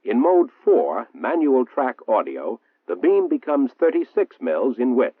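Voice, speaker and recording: An American man reading a 1960s military training film narration in a formal, measured, precisely enunciated manner. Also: medium pitch, very animated, slightly rough. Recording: muffled, roomy 1960s film soundtrack, phone-like.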